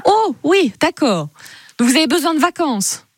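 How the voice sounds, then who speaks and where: A woman hosting a radio phone-in quiz, chatty and encouraging with quick interjections. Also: high-pitched, sing-song. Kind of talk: lively radio phone-in game.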